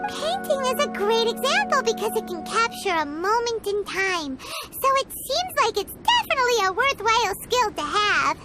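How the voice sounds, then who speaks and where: A woman voicing a tiny, excitable, childlike fairy companion in a video game, squeaky, chatty and exclamatory. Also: moderate pace. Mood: cheerful, eager. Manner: exclamatory, refers to self in third person. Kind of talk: animated video game character acting. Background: background music.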